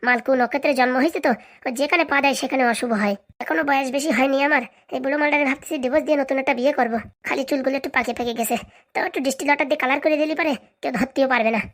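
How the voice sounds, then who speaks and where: A woman's voice: high-pitched, fairly bright tone. A woman voicing a domineering, scheming middle-aged wife in a comic cartoon, scolding, threatening and boasting.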